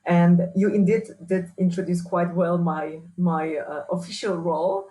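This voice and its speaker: A woman with a strong Polish accent answering interview questions as a researcher and trainer, often restarting and filling pauses. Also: on the low side, steady tone, warm tone, low-quality recording. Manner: hesitant, many restarts and fillers. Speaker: workshop speaker, researcher.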